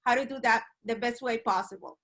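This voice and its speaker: Dominican-born woman in her 40s, bright tone, lots of ums. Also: a little high, fairly quick. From Dominican Republic. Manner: hesitant, many ums and uhs. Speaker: guest. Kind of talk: remote podcast interview.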